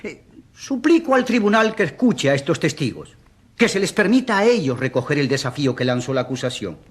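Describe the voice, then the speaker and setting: A man acts out a fervent, stubborn countryman pleading in a courtroom radio play. His fairly high voice is rough and husky, leaping up and down as he insists, haltingly, on being heard. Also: big pitch swings. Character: impassioned, stubborn provincial man pleading for an accused priest. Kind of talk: radio drama acting.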